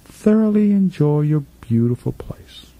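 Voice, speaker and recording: A male meditation teacher speaks slowly in a deeply mellow, relaxed voice. His pitch moves freely, with phrases trailing off at the end. He gives soothing, repetitive instructions as a guide through relaxation. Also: poor audio. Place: professional studio.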